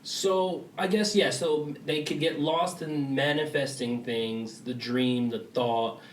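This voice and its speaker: A man's voice: a little high, steady tone, slow pace, roomy sound, recorded from a distance, a little hiss or hum, low-quality recording. A young man on a casual podcast, explaining his views at length. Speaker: podcast co-host. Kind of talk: casual chat.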